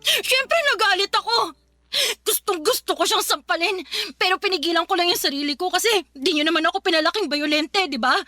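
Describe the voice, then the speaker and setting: A tearful, high-pitched heartbroken daughter. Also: female voice, brisk, sharp tone, dry recording, soft background music. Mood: upset, recounting. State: crying. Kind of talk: Filipino radio drama acting.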